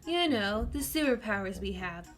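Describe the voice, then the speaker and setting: A woman's voice: mid-range voice, animated, normal speed, natural voice. A woman voicing a confident, teasing young schoolboy with superpowers, upbeat and friendly, with faint music behind. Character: cocky, cheerful young schoolboy with powers.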